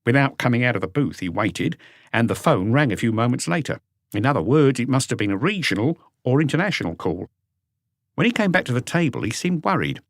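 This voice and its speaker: A man's voice: mid-range voice, sing-song, fairly quick, no echo, studio quality. A man voicing an eager, dutiful police inspector who reports to his chief in earnest detail, as an audiobook character. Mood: intent.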